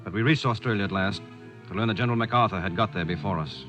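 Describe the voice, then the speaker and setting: An actor playing an earnest, somber American war correspondent and narrator in a 1940s radio drama. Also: male voice, fairly low pitch, quick pace, fairly bright tone, dull audio, close to an everyday voice. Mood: somber narration. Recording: vintage 1940s radio broadcast with music.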